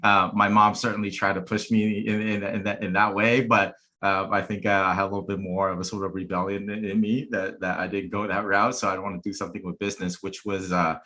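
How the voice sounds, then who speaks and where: A man speaking as a panel guest, thoughtful, pausing and restarting often. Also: fairly low pitch, little pitch movement, sharp tone. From Taiwanese family. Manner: halting, many restarts, thoughtful. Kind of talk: casual panel talk.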